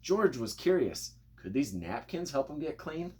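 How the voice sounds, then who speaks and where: Very animated man reading a children's story. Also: medium pitch, unhurried. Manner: expressive, playful, addressing young listeners. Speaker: storybook reader.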